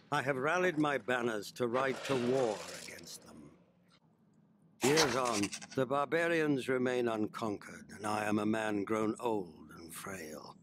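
A man's voice: medium pitch, lightly acted. An actor playing a proud, driven conqueror king in a video game, solemnly and theatrically reading out his journal. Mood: weary, solemn. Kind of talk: dramatic in-character journal narration. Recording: clearly noisy ambient game background. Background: game ambience.

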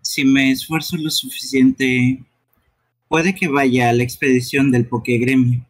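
A man's voice: medium pitch, fairly even intonation, a little slow, lightly acted. A man voicing a timid, earnest young furry creature in an amateur game dub, speaking hesitantly. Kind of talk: amateur live game dubbing.

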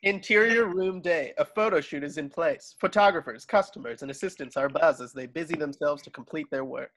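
A man's voice: fairly high pitch, fairly quick, a bit bright, low-quality recording. A man reading stage directions as narrator in an amateur table read, now and then stumbling over words. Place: home, on a group video call.

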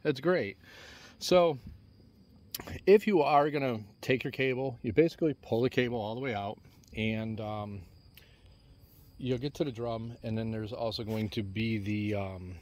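A man's voice: mid-range voice, moderate pace, darkish tone. A man casually walking through his truck winch setup outdoors, rambling with many fillers and restarts, filmed on a handheld phone. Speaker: DIY truck vlogger. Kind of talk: casual how-to walkthrough. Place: outdoors on a lawn beside a truck.

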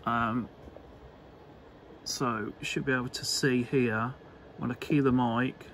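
An unhurried man with a mid-pitched voice talks through a hobby demonstration. His delivery is casual and halting, pieced together as he goes. He is recorded indoors with clearly audible background noise. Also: steady tone, fairly bright tone. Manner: hesitant, unscripted, halting phrasing. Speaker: electronics hobbyist presenter. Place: indoor electronics workbench.

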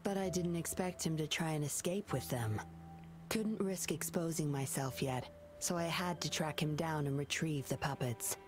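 An actress voicing a composed young woman investigator who speaks in a calm, measured, slightly teasing way in a game. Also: low-pitched, faint music behind, natural voice. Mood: explaining.

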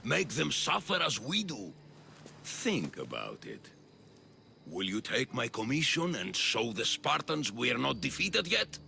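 Theatrical, swooping Athenian demagogue. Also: male voice, mid-range voice, huge pitch swings, measured pace, vocal fry, bright, forceful tone, slight background noise, full character voice. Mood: rousing, commanding. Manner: oratorical, insinuating, grandiose. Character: scheming, theatrical populist male politician of ancient Athens. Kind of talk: dramatic video game dialogue.

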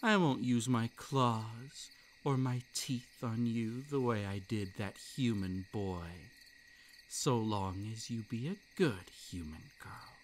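A man voicing a possessive yandere male kitsune monster for roleplay ASMR. He breaks into unhinged laughter and drops into soft, breathy whispers. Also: on the low side, slight background noise. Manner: gleeful, unhinged laughter between lines.

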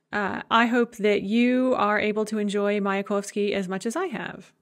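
A middle-aged woman giving a recorded university lecture in a conversational way, with frequent filler sounds. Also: medium pitch.

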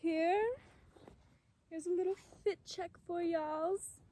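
A woman's voice: very high voice, fairly even intonation, takes it slowly, warm tone. A twenty-year-old woman vlogging outdoors on a phone, rambling and self-mocking as she muses aloud, swearing often. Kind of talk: casual reflective vlog talk. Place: outdoors in a dry mountain meadow. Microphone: phone held at arm's length, later propped on the ground.

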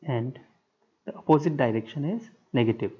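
A man giving a structural engineering lecture over a whiteboard screen recording, speaking in short, halting, measured phrases. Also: mid-range voice, warm tone, husky. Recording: dull lo-fi sound.

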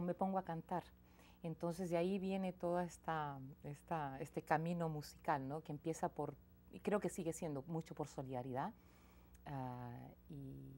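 A woman, a singer-songwriter speaking reflectively as a guest in a small TV studio interview. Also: sits low. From Chile. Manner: reflective, soft-spoken, with frequent restarts. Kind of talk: casual interview conversation. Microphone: lapel mic.

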